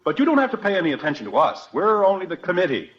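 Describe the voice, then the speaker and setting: An actor playing a stubborn, self-important middle-aged American husband, indignant and sarcastic, in an old-time radio comedy. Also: male voice, sits high, wildly swooping pitch, racing, a crowd in the background. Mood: wounded sarcasm. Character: exasperated, stubborn suburban husband and father, self-important committee chairman. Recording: dull vintage radio recording.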